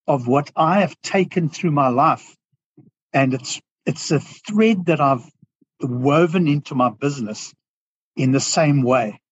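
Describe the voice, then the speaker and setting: A South African man speaking earnestly as a podcast guest, pausing and restarting as he thinks aloud. His voice is husky and sits in a middle register. The sound is dry and a little dull. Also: mid-range voice, no echo. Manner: earnest, reflective, halting with restarts. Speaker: guest business owner. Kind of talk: podcast interview.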